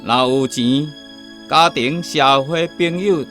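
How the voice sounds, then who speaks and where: An actor with a Taiwanese accent playing a greedy money idol in a radio drama, hawking riches with drawn-out calls. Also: male voice, medium pitch. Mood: gloating, enticing. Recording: music loud behind the voice.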